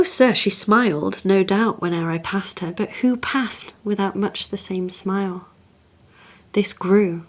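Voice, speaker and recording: A cold, proud Renaissance duke performed by a woman delivers a dramatic verse monologue at a slow, measured pace. The manner is haughty and insinuating, often hushed, with possessive menace under the courtesy. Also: lowish voice. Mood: cold, menacing. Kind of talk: dramatic monologue, poetry reading.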